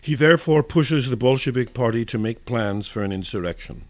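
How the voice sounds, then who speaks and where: A man's voice: mid-range voice, expressive, brisk, no echo. A man giving scripted historical narration for a radio broadcast as a reader.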